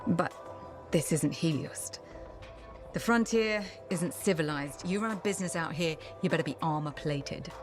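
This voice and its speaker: An actress playing a tough, streetwise bar owner in a video game, brusque and wry with a no-nonsense manner. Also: female voice, low voice, normal speed, over music, close to an everyday voice. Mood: gruff. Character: tough, streetwise female frontier bar owner, secretly soft. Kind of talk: video game dialogue acting.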